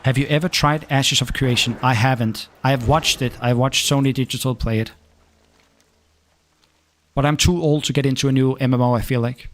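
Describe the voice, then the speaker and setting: A young man from Denmark with a Danish accent, chatting casually while streaming a game and answering viewer questions, often stopping to restart his sentences. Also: mid-range voice. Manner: halting, restarts often, relaxed. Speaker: gaming streamer.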